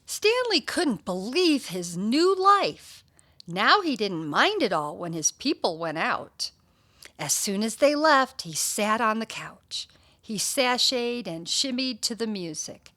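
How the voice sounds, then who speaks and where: A woman reads a children's story aloud at an unhurried pace. Her slightly high voice rises and falls widely, with deliberate emphasis on key words, as a school storyteller would. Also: sing-song, pushed and bright. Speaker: elementary school storyteller reading a picture book aloud. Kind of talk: expressive scripted children's story reading.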